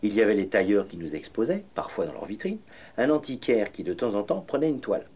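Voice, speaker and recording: Actor as husky, reminiscing male painter. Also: lowish voice, very animated, fast talker, a little mellow. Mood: reflective, nostalgic. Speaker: voice-over reading the painter's memoirs in a documentary. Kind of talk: scripted first-person narration.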